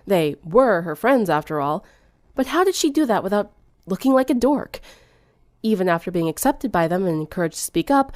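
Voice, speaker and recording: A woman's voice: medium pitch, a little mellow, no room sound, studio quality. A woman reading fan fiction aloud as scripted third-person narration.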